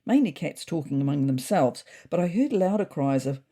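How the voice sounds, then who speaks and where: A woman playing a wry, observant cat narrator, telling a scripted comic diary story in a husky, expressive voice. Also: low voice, normal speed. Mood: dryly amused.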